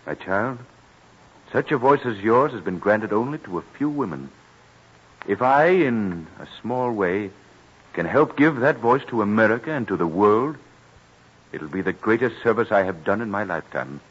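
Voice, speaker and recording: An actor playing a formal, emphatic colonel and patron of music in an old radio drama. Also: male voice, on the low side, lively intonation, normal speed, slightly rough. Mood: earnest. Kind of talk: old-time American radio drama acting. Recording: muffled, lo-fi old radio broadcast.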